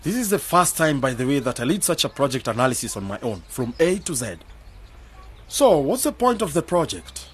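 An actor playing a skeptical, sober-minded young graduate man in a radio drama, arguing and questioning, with a husky voice. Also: medium pitch, big pitch swings, a little fast, a bit bright. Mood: businesslike. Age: young adult. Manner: questioning, argumentative.

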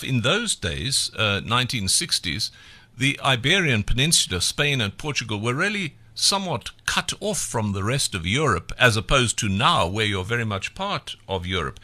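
A man's voice: mid-range voice, sharp tone. A man hosting a radio interview show, introducing music and asking a guest questions in a polished presenter manner. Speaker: radio host.

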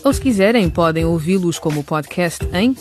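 A woman works as narrator, closing a scripted radio drama. Her manner is chatty and teasing, and music plays softly behind her. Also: lowish voice, lively intonation, moderate pace.

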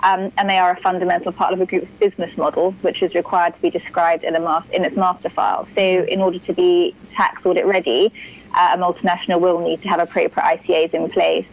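A woman giving a measured explanation as an expert guest in an interview. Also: lowish voice, flat delivery. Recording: slightly muffled call audio.

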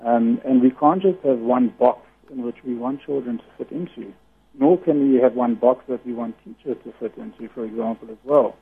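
Radio guest on the phone: a loud-voiced man, very husky, earnest and explanatory, tinny line. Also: mid-range voice, normal speed. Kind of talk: radio interview over the phone.

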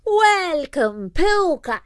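A woman's voice: high-pitched, sing-song, unhurried, dry recording, clean studio sound, pitched above the performer's own voice. A woman voicing an old female banshee hostess, calling out grandly in a storybook tale. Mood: welcoming.

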